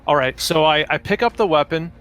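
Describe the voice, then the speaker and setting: A man's voice: fairly high pitch, a little fast, fairly bright tone, soft background music. A man chatting casually as a player in a tabletop roleplaying game.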